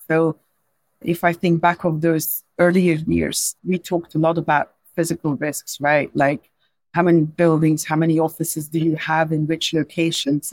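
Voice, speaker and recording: A woman's voice: low voice, darkish tone, no echo. Belgian-accented woman, thoughtful and dry-sounding. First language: not English. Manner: thoughtful, frequent restarts and "right?" tags. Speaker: podcast guest, insurance executive. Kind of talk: conversational interview answers.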